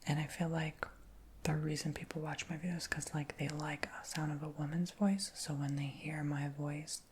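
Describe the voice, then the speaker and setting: A man whispering through a rambling ASMR storytime chat, his voice high-pitched for a man, with frequent ums and likes. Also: little pitch movement, moderate pace, a little hiss or hum. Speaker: ASMR creator.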